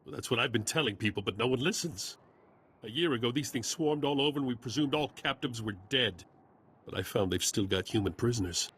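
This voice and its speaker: Husky, determined rescuer. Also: male voice, mid-range voice, lively intonation, brisk, a bit bright. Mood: wary. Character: wary, determined male rescuer of war captives. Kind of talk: scripted video game dialogue.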